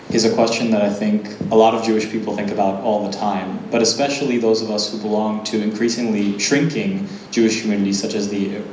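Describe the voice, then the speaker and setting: A young man interviewing an author, speaking thoughtfully with some halting starts. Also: lowish voice, level tone, echoey room, noisy background. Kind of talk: moderating a conversation.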